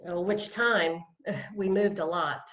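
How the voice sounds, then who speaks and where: A woman's voice: fairly low pitch, takes it slowly, fairly bright tone. A woman playing a self-deprecating, hesitant retired woman of fifty-eight, a beginner dancer, in a play read over video call. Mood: wry, reflective. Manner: hesitant, wry, chuckling. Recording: roomy, dull video-call audio.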